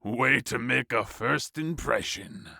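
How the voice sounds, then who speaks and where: Casting: male actor for cold, contemptuous king, low creaky voice with a hard edge, unhurried, audio drama villain. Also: pushed and bright, no room sound, clean studio sound, exaggerated voice. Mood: cold, commanding. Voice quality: gravelly. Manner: slow, disdainful. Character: arrogant, cruel warlord king, cold and contemptuous. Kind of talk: audio play acting.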